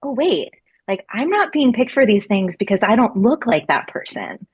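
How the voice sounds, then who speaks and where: A woman's voice: fairly high pitch, big pitch swings, moderate pace, a little mellow, slightly rough. A woman in her forties from Florida, a laboratory medicine researcher talking casually as an interview guest, with many fillers and restarts. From Florida, USA. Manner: chatty, many fillers and restarts, laughs easily.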